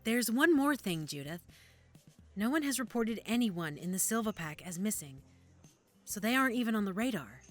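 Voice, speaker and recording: A woman's voice: mid-range voice, sing-song, normal speed. A woman acting in an audio drama as a cautious, helpful medical examiner, with a conversational, slightly hesitant manner. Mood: careful, sober. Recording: music under the dialogue.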